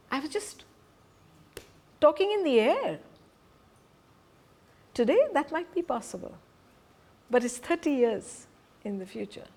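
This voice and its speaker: A woman's voice: fairly high pitch, darkish tone. A middle-aged woman from Punjab, a Hindi speaker, giving an earnest, emphatic talk to a group as presenter and teacher. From Punjab, India. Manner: earnest, emphatic, stresses key words.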